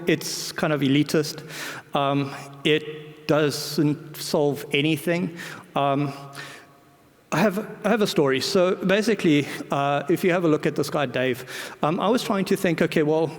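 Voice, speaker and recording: A man giving an informal conference talk in a conversational, wryly humorous manner. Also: fairly high pitch. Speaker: conference speaker. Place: large indoor conference venue. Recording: echoey hall reverb.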